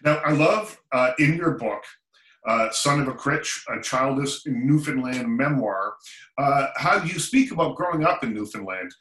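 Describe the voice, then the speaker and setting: A man from Nova Scotia hosting an interview, asking curious questions with halting fillers. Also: mid-range voice, expressive, a bit bright. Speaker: interviewer host. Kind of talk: casual interview. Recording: roomy echo.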